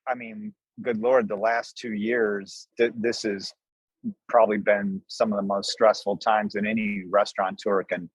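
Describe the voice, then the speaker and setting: A man's voice: fairly low pitch, no room sound, poor audio. An American man in his sixties telling stories casually as an interview guest, folksy and conversational. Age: 60s. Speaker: podcast guest, restaurant co-owner.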